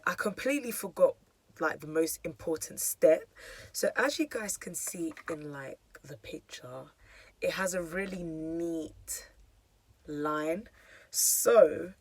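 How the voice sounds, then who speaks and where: A young woman with a hoarse, croaky voice, chatting casually through a makeup tutorial with lots of fillers. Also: deep voice, darkish tone, dry recording. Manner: chatty, filler-heavy, self-deprecating. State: hoarse, losing her voice. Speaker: beauty vlogger.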